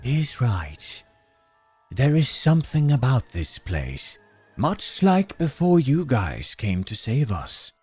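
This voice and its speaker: An anxious ghost from a storybook tale, fretful and theatrical. The voice is lifted high, with a wavering, wailing swing. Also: male voice, very animated, slow, a little mellow, breathy edge, no echo, soft background music, exaggerated voice. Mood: subdued. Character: anxious, fretful male ghost named George.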